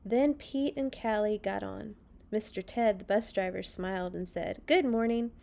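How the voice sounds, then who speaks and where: Lady doing kids storytime, reads real slow and expressive, soft-edged mellow voice. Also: medium pitch, gravelly. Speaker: children's storytime host reading a picture book aloud. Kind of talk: read-aloud storytelling for young children.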